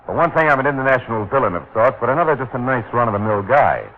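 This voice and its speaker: An actor playing a wry, hardboiled private detective in an old-time radio drama, brisk, sardonic and wisecracking. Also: male voice, mid-range voice, expressive, natural voice. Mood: tough wisecracking. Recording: dull, noisy vintage radio recording.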